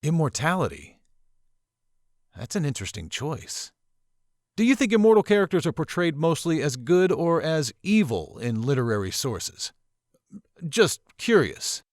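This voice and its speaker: Formal professor, lilting higher voice. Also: male voice, big pitch swings, unhurried, pauses often, no echo, studio quality. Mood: curious, reserved. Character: male college professor, polite, probing, subtly ominous. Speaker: voice actor in a visual novel. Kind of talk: visual novel character dialogue.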